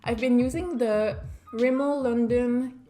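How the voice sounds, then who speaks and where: A woman's voice: a little high, fairly even intonation, measured pace, dark tone, faint music behind. A young woman with a French Canadian accent, chatting casually through a makeup tutorial just after waking up, self-deprecating and easygoing. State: just woke up. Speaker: beauty vlogger.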